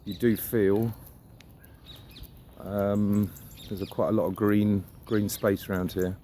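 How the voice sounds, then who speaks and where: Low-voiced man rambling outdoors. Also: little pitch movement, takes it slowly, mellow. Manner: musing, opinionated, mildly sweary. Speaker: vlogger. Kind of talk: casual rambling walk-and-talk monologue. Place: outdoors, walking suburban riverside streets. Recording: outdoor background noise. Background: outdoor ambience.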